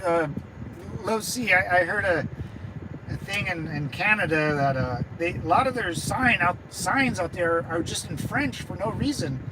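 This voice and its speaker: A young man chatting casually with his live-stream viewers. He rambles, stammers through restarts and laughs often. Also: sits high. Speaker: livestreamer and veteran chatting with viewers. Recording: loud traffic noise behind.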